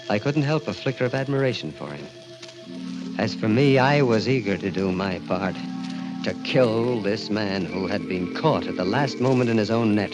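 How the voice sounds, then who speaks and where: An actor playing a grim, vengeful young American man, narrating in a measured, brooding 1940s radio style. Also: medium pitch, lightly acted, poor audio. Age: young adult. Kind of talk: 1940s radio acting, dramatic first-person narration. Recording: old radio broadcast with dramatic music.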